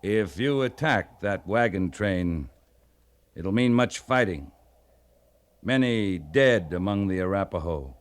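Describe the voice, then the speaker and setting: An actor playing a resolute American army captain in an old-time radio western, speaking in a measured, authoritative way. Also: male voice, sits low, animated. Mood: firm, persuasive. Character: resolute, plain-spoken U.S. Army cavalry captain in the Old West. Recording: vintage radio drama recording.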